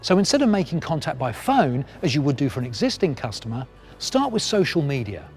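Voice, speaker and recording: A man's voice: on the high side, very animated, a little fast, soft background music. A man presenting a scripted sales training film, with polished, persuasive delivery and emphatic phrasing. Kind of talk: scripted promotional narration.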